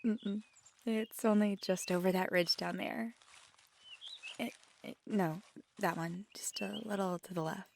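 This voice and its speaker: A woman playing a feisty, affectionate magical girlfriend in an intimate ASMR roleplay, soft-spoken and halting, sometimes slipping into a whisper. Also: mid-range voice, fairly even intonation, gravelly, a little hiss or hum. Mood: gentle encouraging.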